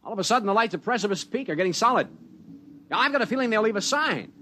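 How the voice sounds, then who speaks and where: An American actor playing a brash, wisecracking mountain local in a vintage radio drama, emphatic and folksy. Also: male voice, high voice, lively intonation, rapid-fire, sharp tone, slight background noise. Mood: wry, assertive. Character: brash, wisecracking male mountain local who loves his country. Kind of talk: old-time radio drama acting.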